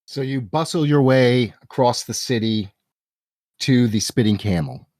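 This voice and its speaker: A man's voice: mid-range voice, a little slow, a little mellow, no echo, clean studio sound. A man narrating a tabletop fantasy game as game master, telling the scene descriptively to the players. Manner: descriptive second-person storytelling.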